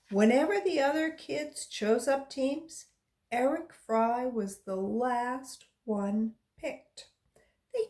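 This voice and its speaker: Female volunteer storybook reader, higher-pitched and slow-paced, expressive and deliberate delivery for young listeners. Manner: deliberate, expressive phrasing for children. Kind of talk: read-aloud storybook narration with brief asides.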